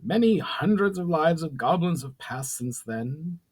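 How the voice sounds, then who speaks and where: A man acts out a goblin ruler from a fantasy storybook. The voice is nasal and lifted in pitch. He speaks formally, with stern authority. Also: lively intonation, measured pace, breathy edge. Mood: grudging respect.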